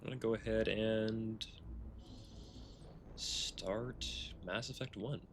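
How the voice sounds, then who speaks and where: A man's voice: on the low side, fairly even intonation, slow, slight background noise. A man livestreaming a video game with casual, laid-back, offhand commentary.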